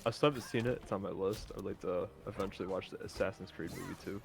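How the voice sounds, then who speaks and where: Fast-talking man with a creaky low voice. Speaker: panelist. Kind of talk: casual chat about movies and games.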